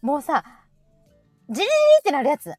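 A middle-aged woman with a Kansai accent, chatting casually and telling everyday stories in an animated, talkative way as a stream host. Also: sits high, a little fast, no echo, clean studio sound, soft background music. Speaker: live audio stream host. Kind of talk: casual chat.

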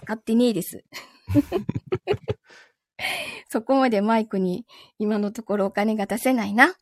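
A woman's voice: medium pitch, normal speed, no echo. A woman chatting casually on a live stream in a playful, bantering way, close to a studio microphone in a recording booth. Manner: playful, frequently laughing banter. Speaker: voice performer hosting a live stream. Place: small home recording booth.

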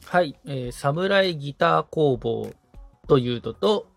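Higher-voiced man thinking aloud. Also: lively intonation, unhurried, vocal fry, dry recording, faint music behind. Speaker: podcast assistant, guitar repairman being coached. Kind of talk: casual, reflective discussion.

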